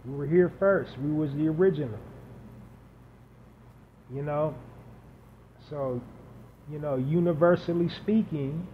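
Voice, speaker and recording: Slow-talking man hosting a solo podcast. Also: fairly high pitch. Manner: unscripted, repetitive emphatic listing. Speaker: podcast host. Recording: dull lo-fi audio with faint hiss.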